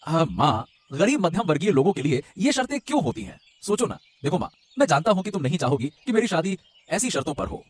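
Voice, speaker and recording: A man's voice: on the high side, big pitch swings, fast talker, no room sound. A man voicing an earnest, dutiful young groom-to-be from a rich family in a cartoon story, hesitant and respectful. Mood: concerned, respectful. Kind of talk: Hindi cartoon story dialogue.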